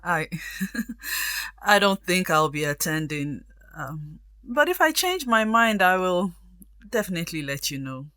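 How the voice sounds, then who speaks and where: A woman's voice: fairly low pitch, big pitch swings, measured pace, bright, forceful tone, dry recording, full character voice. A woman playing a self-assured, helpful friend in a radio drama, talking in a composed, conversational way. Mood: amused, evasive.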